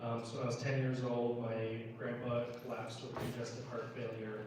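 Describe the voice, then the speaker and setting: A man's voice: medium pitch, little pitch movement, moderate pace. An American man in his thirties speaking as a congressional candidate at a town hall, in an informal, plain-spoken storytelling way. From United States. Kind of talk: informal personal political speech. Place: indoor town hall meeting room. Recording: echoey room, distant mic, background noise.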